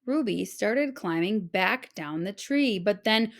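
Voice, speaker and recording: A woman narrating a children's story aloud in a dramatic, expressive read-aloud style. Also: mid-range voice, slow. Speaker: storybook reader.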